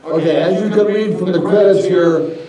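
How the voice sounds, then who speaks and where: An older man from Montana giving a rambling, folksy slide-show history talk, a little hoarse from a cold. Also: sits high, moderate pace, noisy background. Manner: folksy, rambling, many fillers. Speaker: local history presenter. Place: lecture hall. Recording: echoey hall, from far off.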